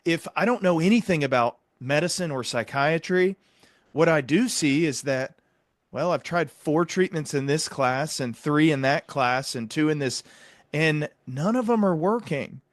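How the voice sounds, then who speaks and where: A man hosting an interview, talking earnestly and explaining his points with measured emphasis. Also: fairly high pitch, lively intonation, no room sound, studio quality. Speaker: podcast host.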